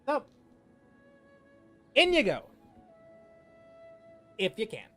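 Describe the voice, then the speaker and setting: Animated high-voiced male game streamer. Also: extremely slow, soft background music. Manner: rambling, playful, repeats phrases. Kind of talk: casual live playthrough commentary.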